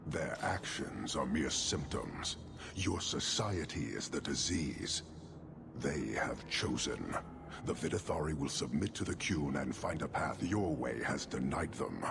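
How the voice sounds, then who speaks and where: An actor playing a stern, imperious male warlord who speaks in a cold, measured way with a very deep, gravelly voice. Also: lively intonation, heavily acted. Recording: game audio with noisy ambience.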